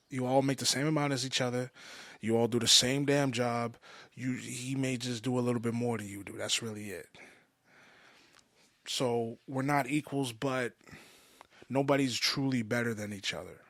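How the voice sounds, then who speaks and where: A man in his mid twenties giving a personal podcast monologue, halting and often restating himself for emphasis. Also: mid-range voice, steady tone, a bit bright, no echo. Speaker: podcast host. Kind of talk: personal monologue, confessional storytelling.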